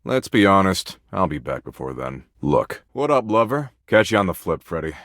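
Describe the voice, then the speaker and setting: A man's voice: sits low, very animated, moderate pace, fairly bright tone, no room sound, clean studio sound. A man voicing a video game embodiment of existential dread, a jaded, foul-mouthed slacker with a flippant, laid-back, slangy drawl. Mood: dismissive, bored. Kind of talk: video game character voice lines.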